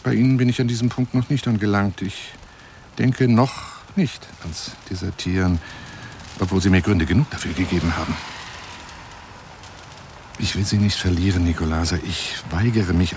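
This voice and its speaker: An actor playing an eccentric, lovelorn and wounded lion hunter in a radio drama monologue, speaking on the telephone in a theatrical, florid, self-pitying manner. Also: male voice, low voice, fairly even intonation, moderate pace, a little mellow, a little hiss or hum. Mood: stubborn, pleading.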